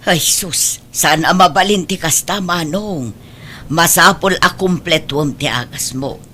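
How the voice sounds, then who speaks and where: A woman's voice: lowish voice, a little slow, pushed and bright, a little hiss or hum. A woman playing a concerned, meddling middle-aged aunt in an Ilocano audio drama, fretful and chiding, with an Ilocano accent.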